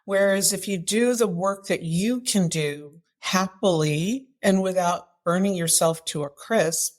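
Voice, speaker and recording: A woman with a slightly low-pitched voice answers as an interview guest. She talks like a coach, direct and emphatic. She often puts rhetorical questions to make her point. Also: a little slow. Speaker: guest author and activism coach.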